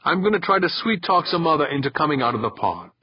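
A man's voice: a little high, sing-song, fast talker, slightly rough, no room sound, lo-fi sound, pitched above the performer's own voice. A man voicing a domineering space-pirate skipper in an audiobook, with a scornful, commanding grumble. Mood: insistent, sarcastic.

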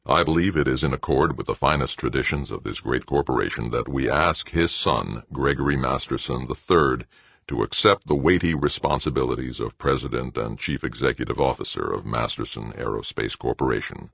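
Scheming older male board chairman, played by a male narrator: formal, blustering, husky bass, audiobook. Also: fairly quick, fairly bright tone, no room sound, heavily acted. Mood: pompous, formal.

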